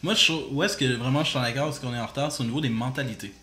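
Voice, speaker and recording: A man's voice: mid-range voice, rapid-fire, bright, forceful tone. A man co-hosting a podcast, telling stories casually with lots of fillers. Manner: storytelling, leans on "tu sais" fillers. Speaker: podcast co-host. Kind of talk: casual chat. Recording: echoey room.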